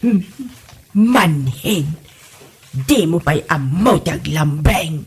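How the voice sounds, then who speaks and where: A woman playing a cranky, curt mother-in-law with a husky voice and an Ilocano Filipino accent in a comedy audio drama. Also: low-pitched, expressive, very slow, heavily acted. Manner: curt, dismissive. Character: grudge-holding, cranky mother-in-law snapping at her family. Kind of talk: comedy radio drama acting.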